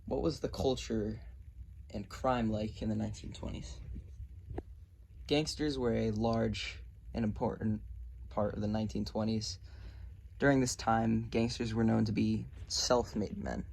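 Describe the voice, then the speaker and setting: A man presenting a scripted question-and-answer narration, reading aloud a little haltingly. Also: on the low side, little pitch movement, creaky, a little mellow. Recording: noisy amateur recording.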